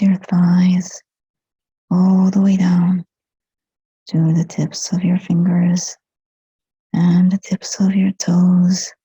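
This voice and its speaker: A woman leading a guided meditation in a soft, breathy whisper, speaking gently and soothingly in short phrases with long pauses between them. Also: on the low side, dry recording. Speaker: hypnotherapist guiding a meditation. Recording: dull, muffled lo-fi sound.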